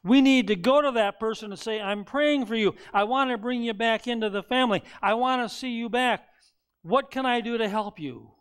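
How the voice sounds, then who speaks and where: Brief: older male pastor, fairly high-pitched voice, relaxed conversational sermon, picked up in a church sanctuary. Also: moderate pace, smooth. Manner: conversational preaching, acts out quoted voices with rising pitch. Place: church sanctuary, seated behind a wooden pulpit.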